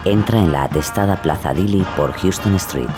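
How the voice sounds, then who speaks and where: Deep-voiced male documentary narrator. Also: moderate pace, over music. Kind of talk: scripted present-tense storytelling narration.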